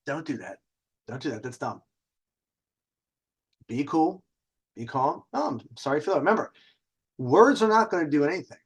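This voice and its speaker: A man's voice: on the high side, lively intonation, moderate pace, pauses often, breathy edge. A man hosting a casual storytelling session with dating advice, talking in a cocky, conversational way and swearing freely. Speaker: host telling personal stories and dating advice.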